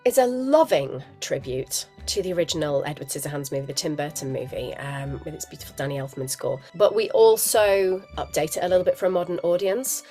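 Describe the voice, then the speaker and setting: A woman with a husky, cold-roughened voice, chatting eagerly about her new show. She pitches her talk a touch low, and faint music plays behind her. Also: fairly quick, few pauses, warm tone. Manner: enthusiastic, chatty, laughs often. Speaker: musical theatre actress, guest; parent.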